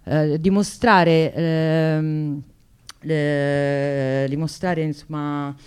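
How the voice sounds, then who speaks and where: A woman talking spontaneously on the radio about a protest, often halting and searching for words. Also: deep voice, fairly even intonation, darkish tone, smooth. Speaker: activist guest, singer.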